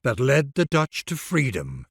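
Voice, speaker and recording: Man, game narrator, stately scripted delivery, solemn, creaky low-end rasp, dry studio sound. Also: huge pitch swings, unhurried, no room sound. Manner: solemn, formal, stately address. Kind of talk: scripted grand historical narration.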